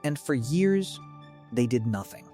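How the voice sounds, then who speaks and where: Guy narrating a grave exposé, pitch moves around a lot, faint music under him. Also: lively intonation, unhurried, dark tone. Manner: dramatic, grave. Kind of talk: scripted documentary-style narration.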